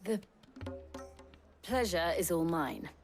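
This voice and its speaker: A woman's voice: lowish voice, lively intonation, slow pace, soft background music. A woman playing a cool, sardonic mercenary type in a medieval fantasy game, with a dry delivery. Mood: haughty, teasing. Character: cool, sardonic female companion posing as mercenary commander. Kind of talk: dramatic video game cutscene dialogue.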